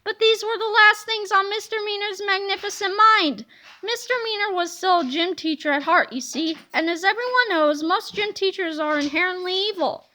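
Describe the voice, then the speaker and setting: A woman reads a children's storybook aloud with lively, expressive narration. Her voice sits high and sounds bright and clear. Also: normal speed, slight background noise, rough recording. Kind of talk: reading a children's book aloud.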